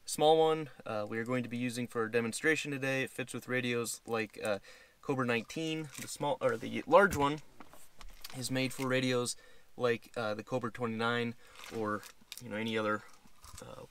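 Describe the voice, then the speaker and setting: A man's voice: mid-range voice, steady tone, moderate pace, a bit bright. An American man in his thirties giving an easygoing, casual step-by-step how-to demonstration inside a vehicle. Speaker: product demonstrator. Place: inside a parked pickup truck cab. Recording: clear background noise, clicks and handling sounds.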